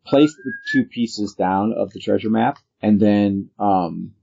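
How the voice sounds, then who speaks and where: A man's voice: lowish voice, takes it slowly, creaky, warm tone, husky, muffled recording. A man working as game master, narrating a tabletop role-playing game in an explanatory, instructive way.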